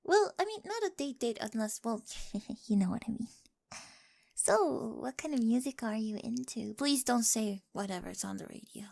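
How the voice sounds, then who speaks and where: A young woman playing a quirky, awkward, talkative high school girl with a crush in an ASMR roleplay, rambling eagerly and often slipping into breathy whispers. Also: darkish tone, no room sound, heavily acted. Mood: flustered, excited. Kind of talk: scripted ASMR roleplay, addressed to the listener. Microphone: close, dry studio-style microphone.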